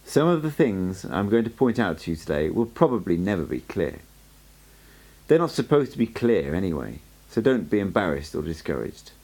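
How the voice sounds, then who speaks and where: A man reading as a calm gravelly old sorcerer. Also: deep voice, heavily acted. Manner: calm, measured, instructive. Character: old male sorcerer teacher, calm and wry.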